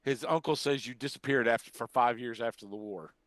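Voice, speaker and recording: Casting: older male podcast co-host, mid-pitched, casual conversational talk, dry close sound. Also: a little fast, fairly bright tone, dry recording, poor audio. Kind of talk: casual chat about sci-fi shows.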